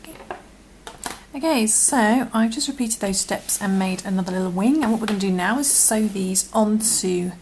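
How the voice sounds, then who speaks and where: Croaky-voiced woman teaching crochet. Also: mid-range voice, normal speed, a little mellow, a little hiss or hum, low-quality recording. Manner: patient, often drops to a half-whisper while working. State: croaky from illness. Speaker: crochet instructor. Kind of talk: step-by-step tutorial.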